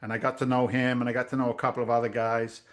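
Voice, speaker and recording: A middle-aged man, a real estate investor telling stories in a casual interview over a video call, lively and blunt, acting out voices. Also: medium pitch, rapid-fire. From Massachusetts. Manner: animated storyteller, acts out quoted voices, swears casually.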